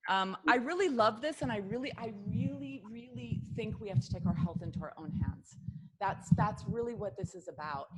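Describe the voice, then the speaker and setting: A woman's voice: mid-range voice, moderate pace, crowd noise, low-quality recording. A middle-aged woman, a health coach, speaks informally on a video call, chatty and earnest, wandering between points as she leads a workshop. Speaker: yoga therapist and health coach hosting a workshop. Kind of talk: informal online workshop talk.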